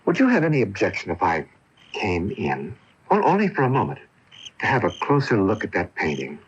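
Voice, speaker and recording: An actor playing a courtly, melancholy drama coach in a radio drama, speaking eloquently in theatrical, old-fashioned phrases. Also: male voice, fairly low pitch, huge pitch swings, fairly quick, slightly rough, exaggerated voice. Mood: courteous. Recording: dull lo-fi off-air radio tape.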